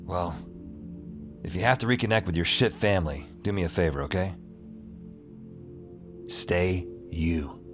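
An actor playing a weary, wry male hero in an audio drama, narrating and talking in a dry, casual, deadpan way. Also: sits low, measured pace, pauses often, music in the background. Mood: wry, concerned. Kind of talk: first-person audio drama narration and dialogue.

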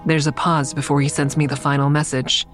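A woman's voice: deep voice, flat delivery, brisk, soft background music, close to an everyday voice. An actress playing a guarded, stressed woman investigator who also narrates in a first-person audio drama. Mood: subdued, uneasy. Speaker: narrator and lead character.

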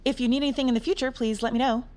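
A woman's voice: on the high side, steady tone, racing, a little mellow, slight background noise, lightly acted. An actress playing an officious female records agent in an audio drama, insistent and matter-of-fact. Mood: brisk, insistent.

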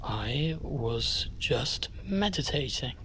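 Mild eccentric uncle, philosophical, gentle, deliberate, halting, audio drama, slight ambient noise. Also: male voice, mid-range voice, lively intonation, exaggerated voice. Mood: serene, musing.

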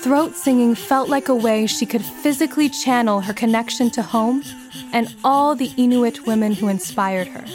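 A woman's voice: on the high side, moderate pace, a little mellow, faint music behind. A woman reading a scripted biographical story for children as its narrator.

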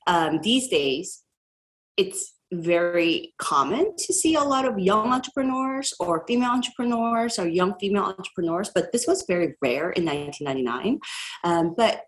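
A woman's voice: fairly low pitch, a bit bright. A woman, speaking as a guest in a casual podcast interview, chatty and rambling with frequent fillers and laughter. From South Korea. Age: 40s. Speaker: guest entrepreneur.

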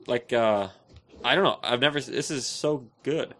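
A man co-hosting a podcast in casual banter, goofy and excitable. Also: mid-range voice, moderate pace. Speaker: podcast host.

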